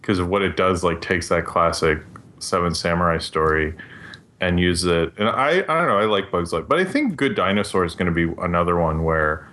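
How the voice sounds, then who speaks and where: A man's voice: deep voice, animated, brisk, sharp tone. A man chatting casually on a podcast panel, hesitant and often trailing off mid-thought. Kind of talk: casual panel chat about films.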